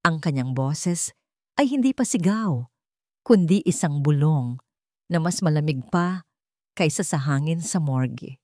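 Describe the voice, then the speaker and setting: Female narrator for dramatic fiction: low register, dry studio-clean sound, measured phrasing with weighty pauses. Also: lively intonation, darkish tone, no room sound. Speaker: storyteller. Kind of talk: dramatic scripted story narration.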